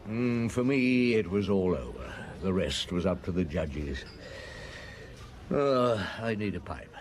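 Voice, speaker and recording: An actor playing a shrewd, weary, middle-aged police detective in a radio drama, speaking in a measured, probing, dry and blunt way. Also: male voice, low-pitched, big pitch swings, a little mellow, exaggerated voice. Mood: weary, reflective.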